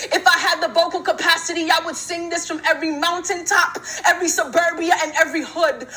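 A woman's voice: very high-pitched, bright, forceful tone. A young woman performing spoken word poetry on stage, with a rhythmic, rhyming, emphatic delivery.

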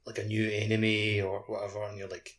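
Lower-voiced man chatting casually. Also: monotone, fast, fairly bright tone. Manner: informal, peppered with "like". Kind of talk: casual chat.

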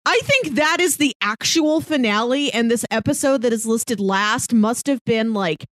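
A woman's voice: fairly high pitch, normal speed, no room sound. A woman co-hosting a podcast, chatting casually as she reviews a show. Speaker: podcast co-host.

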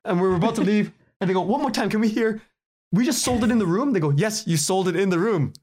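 A man's voice: high-pitched, quick pace, a little mellow. A man telling stories casually as a podcast guest, chatty and acting out the dialogue he quotes. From Canada. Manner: chatty, acts out quoted dialogue, chuckles while talking. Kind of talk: animated casual storytelling in an interview.

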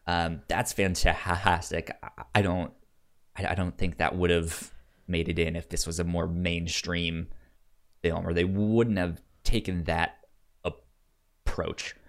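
A man chatting casually about a film as a podcast co-host, with a halting, stammering delivery. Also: low voice, level tone.

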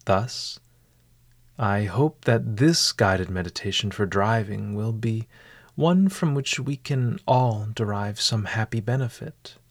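Slow low-ish guy doing guided meditation, formal wording, dry close sound. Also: dry recording. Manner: formal, measured, eloquent phrasing. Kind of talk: scripted guided meditation narration.

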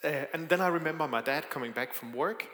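Danish-accented male preacher, halting and friendly, in an echoey hall with long reverb. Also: a little high, sharp tone. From Denmark. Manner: hesitant, with frequent fillers and self-deprecating asides. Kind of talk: sermon.

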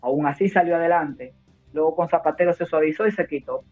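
A woman's voice: low-pitched, brisk, soft background music. A woman from Cuba, a war correspondent and nurse, speaking emphatically and with urgency in long turns during a phone interview. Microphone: phone call. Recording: tinny phone line.

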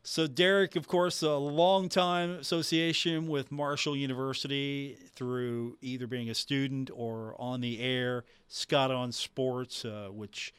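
A man's voice: medium pitch, steady tone, measured pace, hardly any pauses, a bit bright, clean tone, dry recording. Dry, close-miked male sports radio host. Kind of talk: sports talk radio hosting, conversational. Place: radio station producer's booth. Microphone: studio broadcast microphone.

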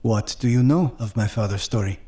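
A man's voice: medium pitch, a little fast, dark tone, close to an everyday voice. Man acting a reserved old professor. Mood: reserved, curt. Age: 80s. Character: reserved elderly French former professor, dry and courteous. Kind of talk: audio drama acting.

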